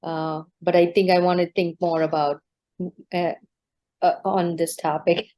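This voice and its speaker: A woman's voice: lowish voice, flat delivery, measured pace, warm tone. A woman educator thinking aloud in an online group discussion, hesitant and searching for words, with many ums and false starts. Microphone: video call.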